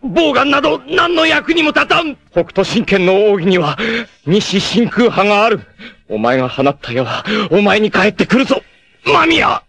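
A man's voice: sits high, sing-song, normal speed, creaky, fairly bright tone, slightly rough, dry recording, heavily acted. An actor voicing a proud, fierce young male martial arts warrior in a 1980s anime, with a grave, intense and dramatic delivery. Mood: desperate.

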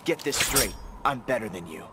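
In noisy game audio with a long reverb, a male actor plays an arrogant young vigilante. He is curt and scornful, with an animated, swinging pitch. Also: normal speed, lightly acted. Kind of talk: video game pre-fight dialogue.

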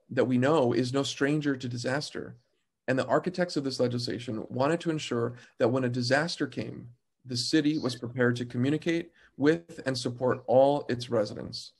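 Gravelly-voiced man chairing a video hearing. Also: medium pitch. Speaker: committee chair. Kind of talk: opening statement at a video hearing. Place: home office, indoors. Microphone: video call.

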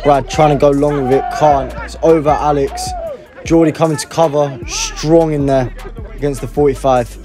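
A man giving live amateur football play-by-play, excitable and prone to raising his voice at big moments. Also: a little high, normal speed. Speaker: football match commentator. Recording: music and crowd noise behind, outdoors. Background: music and outdoor crowd sounds.

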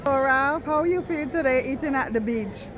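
A woman's voice: sits high, normal speed, a crowd in the background, low-quality recording. A woman chatting casually and eagerly as she films a travel vlog, often breaking into exclamations. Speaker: travel vlogger. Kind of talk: casual chat while filming. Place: outdoors at a seaside beach and rocks. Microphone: handheld camera held by the speaker. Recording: outdoor noise, lapping water.

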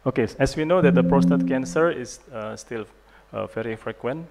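A man in his thirties with an Indonesian accent, giving a conference lecture on a handheld mic in a large hall, halting and self-deprecating with many fillers. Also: medium pitch, creaky, a little mellow. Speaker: guest lecturer, urologist.

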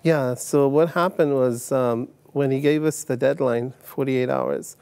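A man from Uganda in his fifties, telling his life story as a guest on a TV studio set, halting with many fillers and restarts. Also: mid-range voice, fairly even intonation, darkish tone, smooth, no room sound. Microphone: lapel mic.

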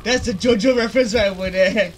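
A man's voice: sits high, measured pace, background noise. A man hosting a gaming video, giving casual live reactions as he plays. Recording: game music behind the voice.

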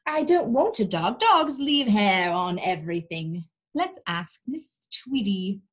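A woman's voice: medium pitch, very animated, slow, breathy edge, exaggerated voice. A woman voicing a fussy, reluctant housewife from a children's storybook, with exaggerated plaintive delivery. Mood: indignant.

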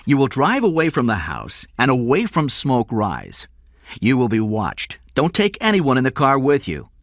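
A man's voice: medium pitch, very animated, moderate pace, a bit bright, no echo, studio quality, voice pushed up. A man voicing a cold male kidnapper in an audiobook, threatening and commanding in clipped phrases. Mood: cold, menacing. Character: cold, menacing male kidnapper giving orders.

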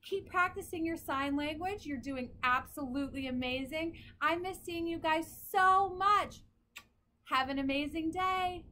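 Casting: young female children's teacher, high-pitched, unhurried delivery, encouraging manner. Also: sharp tone. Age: young adult. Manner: encouraging, addresses young children simply and clearly. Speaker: preschool teacher. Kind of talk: children's lesson and storybook read-aloud.

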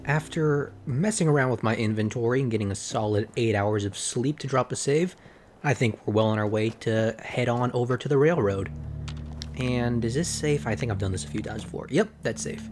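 A slightly hoarse man rambling through casual gameplay commentary, full of fillers and self-corrections. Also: medium pitch, moderate pace, a little mellow, slight background noise. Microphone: desk microphone.

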